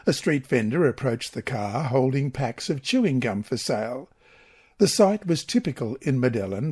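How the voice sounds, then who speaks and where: A man reading a mission story aloud as scripted narration, in a slow, measured way. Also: mid-range voice, a little mellow, breathy edge.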